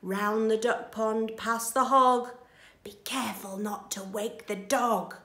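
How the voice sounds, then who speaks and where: A sneaky storybook crook, a man as played by a woman, cunning and conspiratorial. The words come hushed and slow, deliberately laid out in a roomy, echoing space. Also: on the high side, fairly even intonation, exaggerated voice. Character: sneaky male cattle thief, cunning and hushed. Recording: roomy echo.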